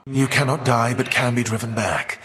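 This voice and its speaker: A man playing a weary, defiant, all-knowing Titan in a video game, speaking in a measured, formal, archaic and foreboding way. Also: mid-range voice, fairly even intonation, a bit bright, in a large hall, close to an everyday voice. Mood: defiant, menacing. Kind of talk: theatrical video game character voice acting.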